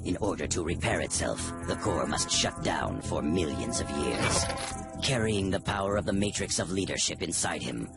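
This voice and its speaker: A man giving grave, measured dramatic narration for a game story. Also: low voice, animated, gravelly, loud music behind the voice.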